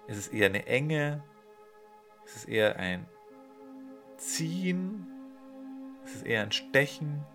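A man leading a guided meditation, giving slow, soothing instructions with long pauses. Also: mid-range voice, vocal fry. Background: soft instrumental music.

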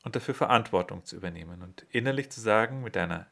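A man leading a guided body-awareness meditation in a slow, calm, soothing way. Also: fairly low pitch, vocal fry.

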